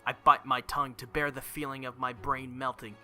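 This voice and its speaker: Male let's-play reader, very bright forceful voice, dramatic visual novel narration over music. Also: medium pitch, level tone, fairly quick. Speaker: let's play video host. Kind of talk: reading visual novel text aloud.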